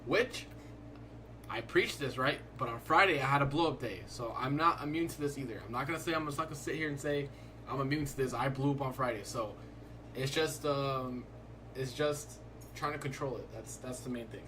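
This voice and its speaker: A man's voice: mid-range voice, fairly quick, pushed and bright, a little hiss or hum. A young man hosting a live stream, chatting casually in a laid-back, rambling way and filling his talk with "like". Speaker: livestreaming day trader.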